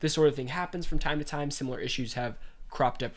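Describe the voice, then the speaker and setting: A man's voice: on the high side, fast. A young man with a congested voice, hosting a casual podcast and reading gaming news aloud. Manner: animated, reads news aloud. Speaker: podcast host.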